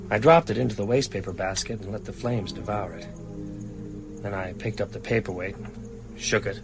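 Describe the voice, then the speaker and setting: A low-pitched, sombre man recounts horror in a radio drama. He is measured and weary, with music clearly behind him. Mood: weary, reflective. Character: haunted, weary male novelist recounting vampire horror. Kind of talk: dramatic first-person narration.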